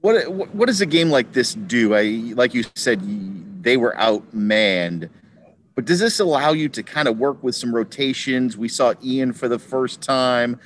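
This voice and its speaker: Rambling reporter asking a coach questions. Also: male voice, medium pitch, expressive, measured pace, a bit bright, slight background noise. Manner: rambling, repeated restarts. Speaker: sports reporter. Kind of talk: press conference questions.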